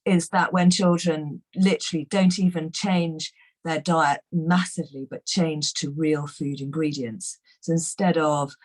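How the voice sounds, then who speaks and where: Hoarse, low-voiced woman. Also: fairly even intonation, unhurried, darkish tone. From England. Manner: enthusiastic, chatty, frequent fillers. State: croaky, hoarse voice. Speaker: guest nutrition expert. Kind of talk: webinar talk.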